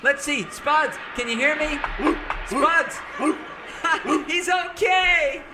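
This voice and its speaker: Excitable high-voiced cowboy sidekick. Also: male voice, drawn-out words, shouting, loud music behind the voice, over a noisy crowd, full character voice. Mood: excited. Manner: eager, folksy, exclaiming. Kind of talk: old-time radio serial parody acting.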